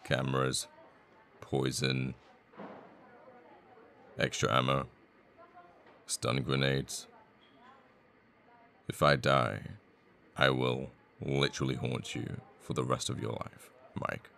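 A man acts out a cocky, menacing assassin boyfriend for an ASMR roleplay. His voice is extremely deep and full of gravelly fry. He murmurs unhurriedly, swearing and pausing as he goes. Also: flat delivery, sharp tone, full character voice. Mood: cocky, irritated. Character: cocky young male assassin, flippant, menacing, secretly a devoted boyfriend. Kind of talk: intimate ASMR audio roleplay.

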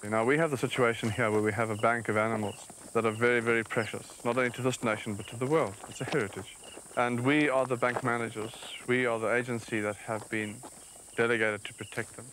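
A man's voice: mid-range voice, steady tone, fast, fairly bright tone, slight background noise, rough recording. Firm male wildlife official outdoors. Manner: firm, explanatory. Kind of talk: documentary interview.

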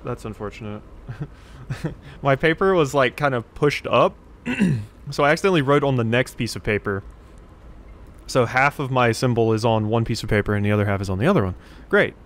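A man's voice: medium pitch, normal speed. A man giving casual game commentary, musing to himself as he works things out. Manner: thinking aloud, musing to himself. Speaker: let's play host.

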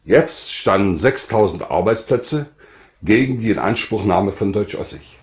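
An older man narrating his own life story from a script in a measured, formal way. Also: fairly low pitch, lively intonation.

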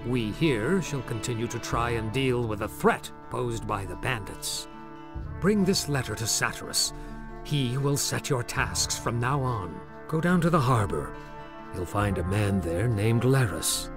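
An actor playing a calm, authoritative elder water mage, speaking in a measured, formal way in a video game. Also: male voice, medium pitch, over music, natural voice. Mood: solemn, instructing.

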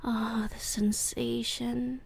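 A woman voicing an obsessive, lovesick demon woman who holds someone captive, whispering breathily close to the mic and stammering with excitement. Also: on the high side, takes it slowly, heavily acted. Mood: whispery longing. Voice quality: breathy whispering, giggly and unhinged. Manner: stammering, repeating herself in excitement. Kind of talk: ASMR roleplay audio drama.

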